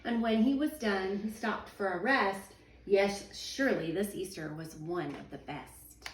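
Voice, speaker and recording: A woman, a teacher in her thirties, reading a children's picture book aloud at home in a sing-song, expressive way. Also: medium pitch, a little slow, a little hiss or hum. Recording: echoey room, voice a little far from the mic.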